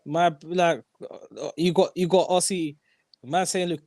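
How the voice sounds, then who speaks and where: A young man hosts a lively football talk show, his voice pitched high and his words repeated for emphasis. The sound is close and dry with no room around it. He talks in quick slang. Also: dry recording. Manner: animated, repeats himself, slangy. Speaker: host. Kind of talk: casual football chat.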